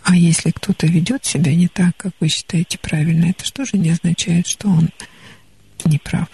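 Husky gravelly woman counselling on radio. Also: on the low side, normal speed. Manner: calm, gently advising, many restarts. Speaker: radio psychologist. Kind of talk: call-in counselling. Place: radio studio.

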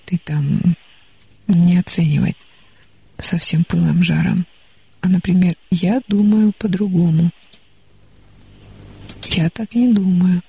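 A woman psychologist counselling a caller on a studio radio call-in show, calm and gently advising. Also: lowish voice, slow pace, gravelly, pauses often, breathy edge.

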